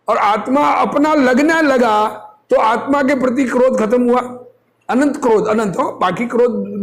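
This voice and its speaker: This is a man with a notably high-pitched voice delivering a religious discourse. He takes his time, moving slowly between reading and explanation. His manner is that of a teacher, instructive and fond of rhetorical questions. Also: gravelly. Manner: didactic, asks rhetorical questions and answers them.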